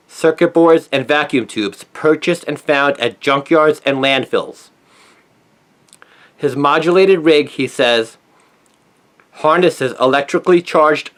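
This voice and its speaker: A man's voice: mid-range voice, a bit bright. A man narrates a news story, reading from a written script in a scripted style. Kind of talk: scripted narration, read aloud.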